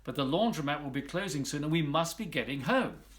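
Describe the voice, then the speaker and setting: A man's voice: a little high, lively intonation, brisk, fairly bright tone. A man voicing a caring, practical mother in a storybook reading. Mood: consoling.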